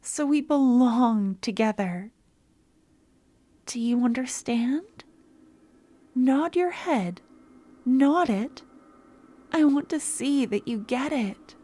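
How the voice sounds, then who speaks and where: A woman playing an obsessive young stalker in an ASMR roleplay, sweet yet menacing, speaking softly and often dropping to a whisper. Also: high voice, expressive, slow pace, pauses often, exaggerated voice. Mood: coldly threatening.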